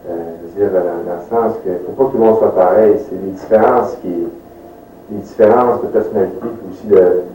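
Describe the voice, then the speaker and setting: A man's voice: on the low side, steady tone, fairly quick, dark tone, soft background music. A man reflecting in an interview, searching for words and repeating himself. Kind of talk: reflective testimony. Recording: roomy echo, distant mic.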